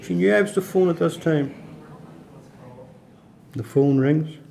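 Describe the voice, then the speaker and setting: A man's voice: a little high, unhurried, gravelly, dark tone. A man playing an anxious prisoner who narrates a radio play in short, clipped sentences. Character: anxious male prisoner narrating his wait to phone home.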